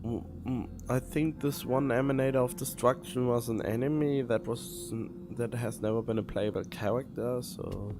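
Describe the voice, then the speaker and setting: A man streaming a game, commenting casually and haltingly as he thinks out loud. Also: mid-range voice, steady tone. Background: game music.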